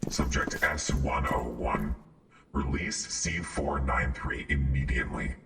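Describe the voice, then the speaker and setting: A man voicing a cold, authoritarian containment guard in an audio roleplay, stern and official, with a deepened intercom effect. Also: slow pace, full character voice. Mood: stern, threatening. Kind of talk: audio roleplay acting, formal announcements. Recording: echoey, distant, slightly noisy announcement.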